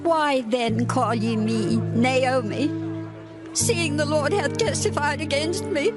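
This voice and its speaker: A woman's voice: high-pitched, slow pace. An actress playing a sorrowful, bitter older widowed mother-in-law in a dramatized scripture reading, speaking in earnest, solemn archaic phrases. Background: music.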